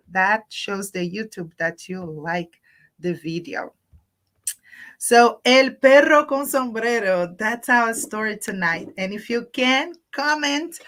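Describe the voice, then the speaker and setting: A woman's voice: medium pitch, a bit bright. A woman hosting a children's storytime livestream, talking to young children in simple teacherly phrases mixed with Spanish words. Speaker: host of a children's storytime channel.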